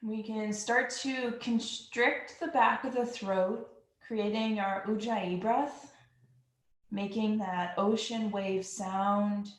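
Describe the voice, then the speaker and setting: A woman teaching a yoga class, guiding breathing in a slow, calm and soothing manner. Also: mid-range voice. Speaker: yoga instructor. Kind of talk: guided yoga breathing instruction. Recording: echoey room.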